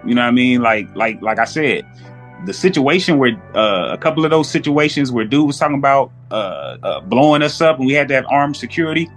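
A man's voice: mid-range voice, a little fast, faint music behind. A man chatting casually on a podcast, telling stories in profane slang and acting out what people said. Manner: animated, profane slang, acts out quotes. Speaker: podcast panelist. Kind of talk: casual storytelling chat.

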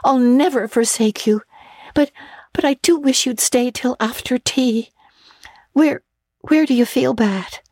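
Lady doing a dramatic chatterbox girl, high and breathless, mellow, dry sound. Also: a little slow, pauses often, dry recording. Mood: pleading. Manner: breathless, theatrical, stammering restarts.

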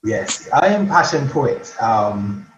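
A man's voice: medium pitch, very animated, slow pace. A man from Barbados with a Bajan accent, chatting casually and playfully as a guest on a remote podcast. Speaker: podcast guest, poet and author. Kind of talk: casual interview chat. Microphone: remote virtual podcast call. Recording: roomy, dull online call, faint noise.

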